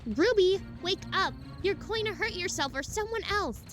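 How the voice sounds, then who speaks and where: Woman acting as young female huntress, exasperated, concerned, very lively intonation, fan audio drama, strong music bed. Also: very high voice, moderate pace. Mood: alarmed, pleading. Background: music behind the voice.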